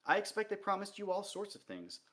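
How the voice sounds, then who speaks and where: A man's voice: high voice, sing-song, a little fast, bright, forceful tone, voice pushed up, exaggerated voice. A man reading a novel aloud and voicing a patient male dwarf king who speaks in a comfortable, sing-song way. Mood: calm.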